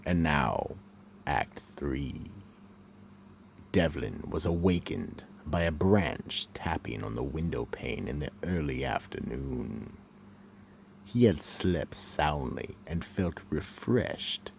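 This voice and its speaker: A man playing a grave, ominous horror radio narrator, reading scripted drama in a very deep, gravelly, measured voice. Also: animated, slight background noise, heavily acted.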